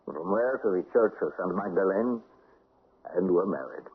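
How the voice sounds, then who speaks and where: Radio play nobleman: low, reassuring, devoted husband, muffled vintage recording with faint noise. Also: lively intonation, normal speed, breathy edge. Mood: soothing. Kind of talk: old-time radio drama acting.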